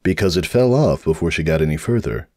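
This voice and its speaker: Deep-voiced man, animated story narrator. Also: fairly quick. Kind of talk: dramatic scripted comic narration.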